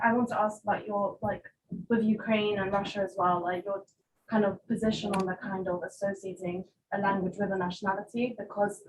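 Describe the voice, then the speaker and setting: Hesitant woman asking a question, noisy room. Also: medium pitch. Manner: hesitant, filler-heavy, many "like"s. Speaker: audience member asking a question.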